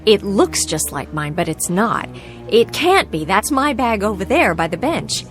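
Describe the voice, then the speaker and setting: A woman's voice: medium pitch, big pitch swings, measured pace, soft background music. An anxious young career woman in a radio play. She is polite yet insistent, stammering in bewilderment, her mid-range voice swinging widely. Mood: disbelieving, agitated. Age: young adult. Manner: hesitant, stammering. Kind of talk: radio drama dialogue.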